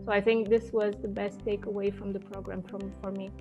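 A woman in her thirties, a marketing head being interviewed over a video call, speaking in a measured, hesitant way with frequent fillers. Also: mid-range voice, little pitch movement, lo-fi sound, over music. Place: indoor home office. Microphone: wired earphone mic on video call.

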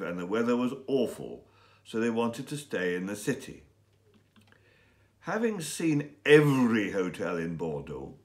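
A man reads a short story for learners, enunciating carefully and deliberately. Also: fairly low pitch. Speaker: story reader. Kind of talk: scripted story narration for English learners. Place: small indoor room with bookshelves and window.

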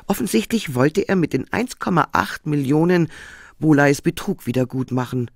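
A man's voice: fairly high pitch, lively intonation, normal speed, creaky, slightly rough, dry recording, studio quality, heavily acted. An actor playing a sober male police colleague in a radio crime drama, reporting in a factual, matter-of-fact way.

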